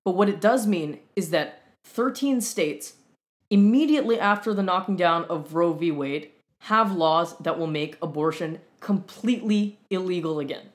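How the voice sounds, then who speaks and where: A young Canadian man co-hosting a talk show, explaining in an earnest, measured way with deliberate pauses. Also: sits high. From Winnipeg, Canada. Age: young adult. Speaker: co-host. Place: room at home with whiteboard and fridge, indoors.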